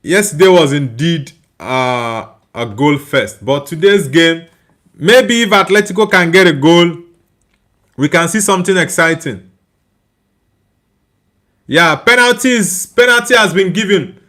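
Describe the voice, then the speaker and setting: A young man from Nigeria hosts a live football watchalong. His voice sits a little high for a man. He talks in a loose, chatty way and laughs easily while reading out viewer comments. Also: expressive, a little slow, long pauses, fairly bright tone. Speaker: watchalong streamer. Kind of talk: casual live match reaction and chat reading.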